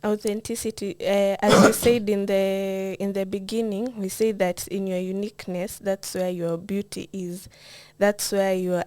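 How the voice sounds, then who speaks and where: A woman's voice: medium pitch, a little mellow, dry recording. A woman with a Kenyan accent answering conversationally as a guest in a podcast interview.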